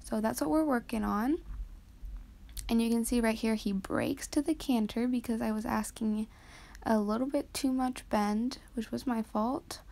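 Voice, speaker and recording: A teenage girl with a slightly high voice and plenty of gravelly vocal fry talks quietly over her own riding footage. She explains each move in a soft, hesitant way, often pausing on um. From Canada. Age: teens. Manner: soft, hesitant with frequent ums. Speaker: vlogger.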